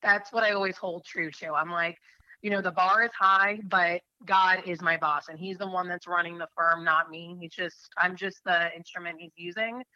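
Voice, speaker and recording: A woman's voice: on the low side, fairly even intonation, moderate pace, pushed and bright, no room sound, muffled recording. A woman in her thirties from New Hampshire, an attorney telling her faith story in an interview, earnest and rambling with many fillers.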